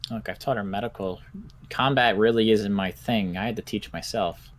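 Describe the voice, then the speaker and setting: A man playing a measured, curious doctor who studies zombies in an improvised apocalypse game roleplay, talking deliberately. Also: lowish voice, steady tone, close to an everyday voice. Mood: thoughtful.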